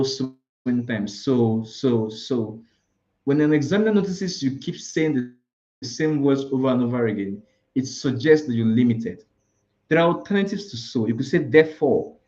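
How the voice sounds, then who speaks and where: A man's voice: mid-range voice, mellow, highs cut off. Nigerian man teaching an exam class. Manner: explanatory, listing examples, frequent restarts. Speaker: IELTS tutor. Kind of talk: live online class.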